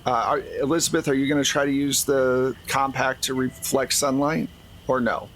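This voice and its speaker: A man's voice: medium pitch, little pitch movement, normal speed, a bit bright, a little hiss or hum, poor audio. A man speaking as game master, narrating a tabletop game in a casual way.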